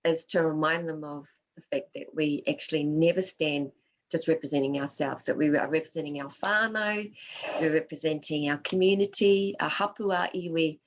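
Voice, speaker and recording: Woman from Australia leading a workshop Q&A; fairly low pitch, personal, reflective delivery with Māori words woven into her English. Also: moderate pace, muffled recording, slight background noise. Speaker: workshop facilitator. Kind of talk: answering audience questions in a workshop.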